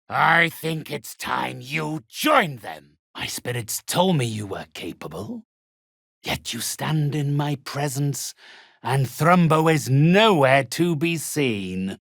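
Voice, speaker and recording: An actor playing a haughty, sinister necromancer in a video game, with a raspy, gravelly voice and a formal, theatrical, condescending manner. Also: male voice, mid-range voice, sing-song, slow pace, fairly bright tone, no room sound, clean studio sound, heavily acted. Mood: menacing. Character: haughty sinister male necromancer who commands spirits. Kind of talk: video game character acting.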